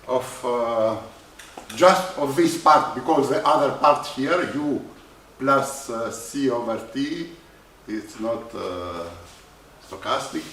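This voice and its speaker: A man with a Greek accent giving a university lecture on probability, halting often with "uh" in non-native English. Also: mid-range voice, slight background noise. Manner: halting, many uh fillers, non-native phrasing. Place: lecture hall. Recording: echoey lecture hall from far off.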